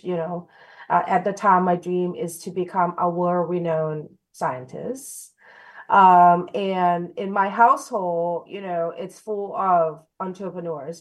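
A woman in her thirties from Thailand, a company founder telling her story in an interview, earnest with many fillers and restarts. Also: on the low side. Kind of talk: conversational interview.

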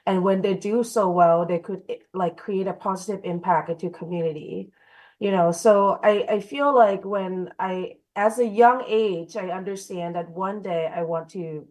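A woman's voice: mid-range voice, normal speed, a little mellow, rough recording. A woman in her thirties from Thailand, a company founder telling her story in an interview, earnest with many fillers and restarts. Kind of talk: conversational interview.

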